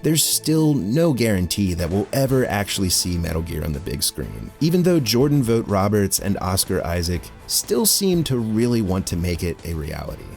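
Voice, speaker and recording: A man's voice: fairly low pitch, big pitch swings, normal speed, vocal fry, few pauses, darkish tone, soft background music. A man narrating a scripted video essay as its host, in a conversational way with wry asides.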